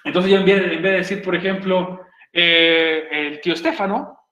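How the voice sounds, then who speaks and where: Chilean teacher with a high voice. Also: male voice, fairly even intonation, normal speed, pushed and bright, reverberant. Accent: Chilean Spanish. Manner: chatty, jokey, asks "¿cierto?" often. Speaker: English teacher. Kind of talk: online class explanation. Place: home room on a video call.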